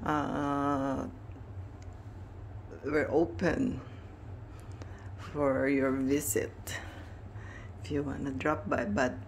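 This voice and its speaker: Casting: woman vlogger, slow hesitant home-tour talk, gravelly low voice, phone mic in a basement. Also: flat delivery, slight background noise. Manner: hesitant, many restarts and fillers. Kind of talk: casual home tour narration. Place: finished house basement, indoors.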